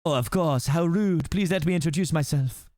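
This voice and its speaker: A man's voice: a little high, normal speed, a little mellow, no echo, studio quality, close to an everyday voice. A man voicing a vain, amorous French outlaw hero, pompous and flamboyant, in a put-on generic French accent. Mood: smug.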